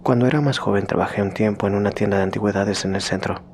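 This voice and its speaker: A man reading out a horror story in slow, hushed scripted narration that sometimes sinks to a whisper. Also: fairly low pitch, steady tone, a little hiss or hum.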